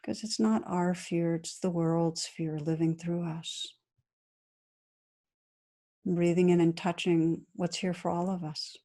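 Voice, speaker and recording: A woman meditation teacher guiding a practice in a gentle, soothing, unhurried manner with long pauses between phrases. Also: lowish voice, steady tone. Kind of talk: guided meditation.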